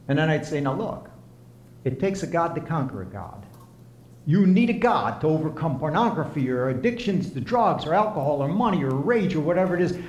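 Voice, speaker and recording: A man preaching a sermon, telling stories and swinging between loud emphatic peaks and low quiet asides. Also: reverberant, a little hiss or hum. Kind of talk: sermon with storytelling.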